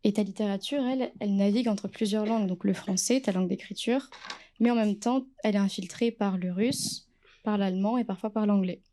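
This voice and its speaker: A woman's voice: mid-range voice, a little fast, mellow, slight background noise. A woman interviewer asks an author a long question, hesitantly, with many fillers and restarts.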